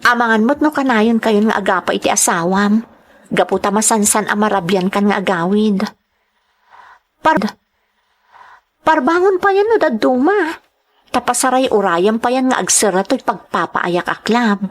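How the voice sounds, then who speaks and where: A woman's voice: mid-range voice, lively intonation, normal speed, long pauses, lightly acted. A woman with a Filipino accent playing an earnest young nightclub entertainer, telling her story expressively in a radio-style drama. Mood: concerned, curious.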